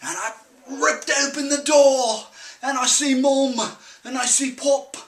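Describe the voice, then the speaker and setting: Young farm lad, melodramatic, panicked storyteller, mixed Australian-Irish-English accent, bright forceful voice, distant from the mic. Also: male voice, extremely high pitch, fairly even intonation, pitched above the performer's own voice, full character voice. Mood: breathless storytelling. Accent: put-on mix of Australian, Irish and English. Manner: melodramatic, drawn-out emphasis. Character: excitable young farm lad telling a panicked monster tale. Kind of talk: theatrical comic audition monologue. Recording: roomy camcorder sound, distant from mic.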